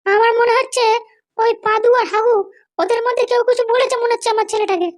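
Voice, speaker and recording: A woman's voice: extremely high pitch, fairly even intonation, fast talker. A woman voicing a doting but bossy mother in a cartoon, fretful, insistent and nagging. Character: doting, anxious, bossy mother of a grown son. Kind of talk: cartoon dialogue.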